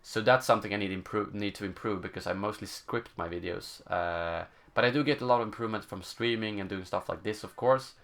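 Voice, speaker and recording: Young Swedish-accented male streamer, lowish voice, casual Q&A, stops and restarts while looking for words. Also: fairly bright tone. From Sweden. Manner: halting, searching for words, many fillers. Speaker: gaming channel host. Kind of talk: live stream Q&A, casual chat.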